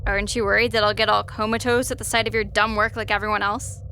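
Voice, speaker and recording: A woman's voice: medium pitch, level tone, fast, lightly acted. A woman playing a sassy, destruction-loving spaceship program, sarcastic and blunt, in a comic sci-fi audio drama with faint noise behind. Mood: bored sarcasm.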